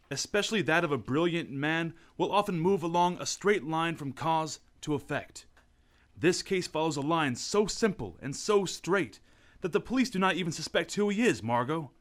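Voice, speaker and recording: An actor playing a calm, thoughtful gentleman detective in a radio drama. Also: on the high side, lightly acted. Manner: measured and thoughtful.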